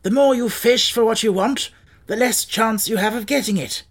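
Woman voicing a wry, higher-pitched scarecrow man. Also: moderate pace. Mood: wry, critical. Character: clever, practical, blunt straw scarecrow man, gently teasing.

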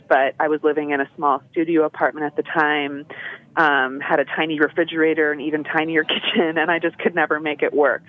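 Phone-in guest: young woman, bubbly, lots of fillers, thin phone-line sound. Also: low voice. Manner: bubbly, laughing often, many fillers. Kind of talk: casual phone interview.

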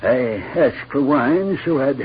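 A man's voice: medium pitch, expressive, slow, slight background noise. An actor playing a desperate middle-aged father freed from outlaw captors, earnest and anxious, in a 1950s radio western. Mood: earnest, troubled. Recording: muffled old radio recording.

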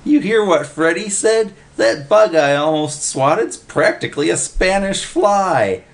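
A man's voice: mid-range voice, very animated, unhurried, a bit bright, voice pushed up. A man voicing a dim, whiny male bum who talks in uneducated slang and looks up to his friend, in a storybook reading. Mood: excited. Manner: whining, uneducated slangy talk.

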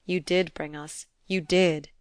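A kindly, easygoing gentleman of period fiction, voiced by a woman audiobook reader. He coaxes gently and repeats his phrases to smooth things over. The recording is very dry. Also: fairly low pitch, fairly even intonation, slow, no room sound. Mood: quiet, firm. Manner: gentle, coaxing, repeats himself. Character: genteel easygoing man, kindly, coaxing peacemaker.

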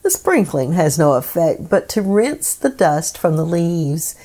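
A woman hosting a devotional program, reading scripted meditations in a solemn, measured way. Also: sits low, a little mellow.

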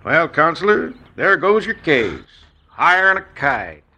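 An actor in a 1950s radio western playing a wry old frontier sidekick, with a gravelly, folksy way of talking. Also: male voice, fairly high pitch, sing-song, unhurried, bright, forceful tone, full character voice. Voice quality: gravelly twang, folksy sayings. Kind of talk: 1950s radio drama acting. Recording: vintage radio broadcast, faint hiss.

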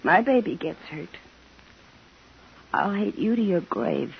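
An actress playing an anguished, defensive young widowed mother in a 1950s radio drama, with a husky voice. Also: on the low side, expressive, takes it slowly, a little hiss or hum, exaggerated voice. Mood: fierce, defensive. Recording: dull 1950s radio recording.

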